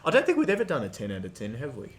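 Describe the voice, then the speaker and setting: Man, average pitch, podcast co-host, informal conversational delivery. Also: wildly swooping pitch, racing, crowd noise. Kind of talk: casual chat.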